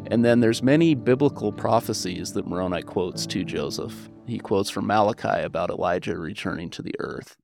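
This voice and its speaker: A man's voice: on the low side, moderate pace, vocal fry, few pauses, over music. A man speaking as a historian guest, explaining religious history in a conversational way.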